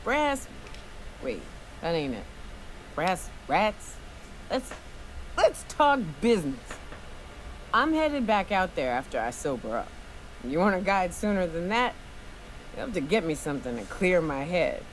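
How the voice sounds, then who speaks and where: A woman's voice: on the low side, big pitch swings, unhurried, pauses often, a little hiss or hum, rough recording. A woman playing a hard-drinking, brash guide for hire in a video game, blunt, folksy and a little muddled. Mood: tipsy, businesslike. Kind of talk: video game character acting.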